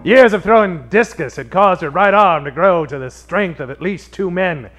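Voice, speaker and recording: A man's voice: high voice, expressive, normal speed, a bit bright, soft background music. A man playing the wry, tongue-in-cheek narrator of a comic detective radio play, reading scripted narration. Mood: wry storytelling. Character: wry male narrator of a noir detective spoof.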